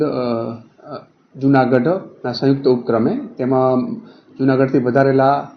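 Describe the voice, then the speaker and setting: A muffled, echoey recording of a male official. He gives a formal statement in a flat, halting way with hesitant pauses. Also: medium pitch. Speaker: local government official. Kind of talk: formal spoken statement to a reporter. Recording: muffled, roomy, faint background noise.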